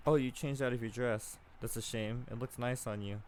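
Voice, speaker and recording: A man voicing a sly household servant woman who teases and insinuates in a soft voice. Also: medium pitch, fairly even intonation, normal speed, a little hiss or hum, natural voice. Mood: teasing. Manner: teasing, insinuating, giggling.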